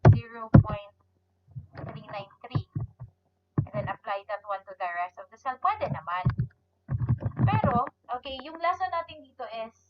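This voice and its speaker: High-voiced Filipino woman teaching, muffled recording. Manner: switches between English and Tagalog, often checks understanding with listeners. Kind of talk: informal spreadsheet tutorial, explaining step by step. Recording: muffled lo-fi audio, noticeable hum.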